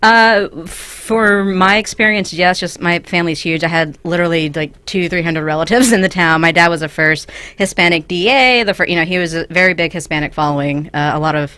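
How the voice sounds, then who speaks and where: A young woman from West Texas, a stand-up comic being interviewed, talking frankly and crudely with quick jokes. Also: fairly low pitch, bright, forceful tone, clear, resonant tone, dry recording. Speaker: stand-up comic and booker, guest. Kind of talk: casual podcast interview. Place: small studio.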